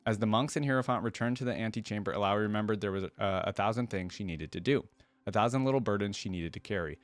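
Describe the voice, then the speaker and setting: A man with a fairly low voice and a close, dry sound hosts a reaction video. He reads fantasy prose aloud and drops in informal, slangy asides of his own. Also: fast talker, dry recording, soft background music. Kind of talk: reading a fantasy story aloud with casual commentary.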